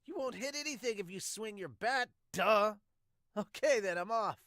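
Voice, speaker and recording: A man voicing a loud, brash, excitable teenage boy baseball player in an amateur manga dub. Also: high-pitched, moderate pace, dry recording, pitched above the performer's own voice. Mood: eager, loud. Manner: shouted, excitable exclamations.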